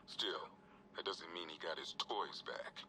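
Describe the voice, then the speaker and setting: An actor playing a gruff, world-weary American police officer, logging evidence for a video game in a terse, streetwise way with dry, wry asides. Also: male voice, deep voice, a little slow, distant mic, noisy background. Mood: dry, matter-of-fact. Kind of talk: scripted video game evidence log narration.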